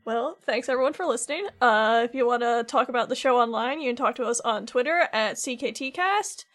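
A woman with a high voice hosts a podcast in casual chat. She is wry and self-deprecating, and the sound is dry and close. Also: fairly quick, a bit bright, no room sound. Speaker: podcast host.

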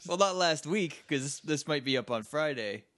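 A man co-hosts a casual podcast with a wry, teasing manner. His voice sits a little high for a man. The recording is dry and close. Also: lively intonation, normal speed, a bit bright, dry recording. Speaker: podcast co-host. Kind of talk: casual chat.

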